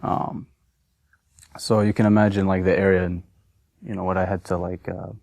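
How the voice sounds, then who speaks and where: A man's voice: low voice, flat delivery, normal speed, vocal fry, darkish tone, dry recording. A young man from Massachusetts with an American accent, giving an informal personal testimony in a hesitant, reflective, conversational way. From Massachusetts, United States. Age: young adult. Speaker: convert telling personal story.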